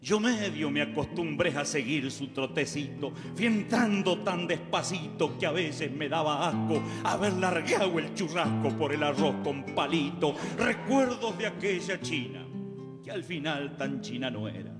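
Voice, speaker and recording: A man's voice: on the high side, lively intonation, measured pace. A man with an Argentine accent reciting humorous gauchesque verse as theatrical, rhymed storytelling. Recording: long reverb, guitar music behind.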